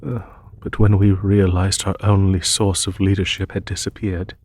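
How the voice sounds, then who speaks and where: A man plays an ancient demon emperor with a low, husky voice. He speaks formally and gently, like a courteous ruler recounting old lore to a guest. The delivery is slow and measured, in the manner of a fantasy audio drama. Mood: sorrowful. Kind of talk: scripted audio roleplay monologue.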